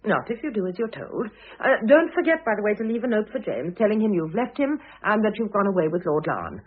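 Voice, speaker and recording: An actress playing a shrewd, composed middle-aged divorced wife in a classic radio play, dry and poised. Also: female voice, mid-range voice, big pitch swings, brisk. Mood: dry, amused. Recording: narrow, phone-like old radio recording.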